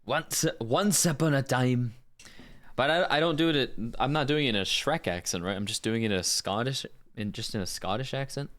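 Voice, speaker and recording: A man's voice: medium pitch, animated, fast talker, few pauses, a bit bright. The guy you'd hear on a voice acting livestream, chatting with viewers and half talking to himself as he works. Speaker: live streamer, voice actor. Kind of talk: casual livestream chat.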